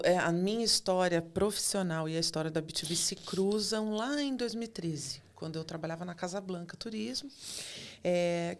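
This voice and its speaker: A middle-aged woman chatting casually as a podcast guest, telling stories in an expressive way. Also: medium pitch, slight background noise. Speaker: podcast guest, hospitality company representative. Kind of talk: casual chat, storytelling.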